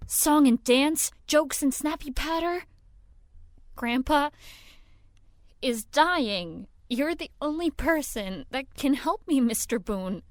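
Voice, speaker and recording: An actress playing an earnest young woman in an audio drama, urgent and expressive as she searches on behalf of her grandfather. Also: high-pitched, slow, dry recording. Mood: pleading, urgent. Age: young adult. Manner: talks to herself, gasping, urgent pleading.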